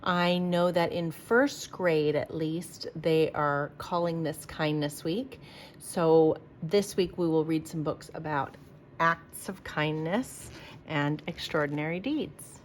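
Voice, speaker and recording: A woman reading a children's picture book aloud like a teacher, with expressive, emphatic delivery for young listeners. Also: low voice, slow pace, a little hiss or hum.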